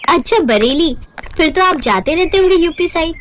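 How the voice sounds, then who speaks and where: A woman voicing a polite young newlywed in an animated storybook tale, respectful, earnest and eager. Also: extremely high pitch, moderate pace, a little hiss or hum, voice pushed up.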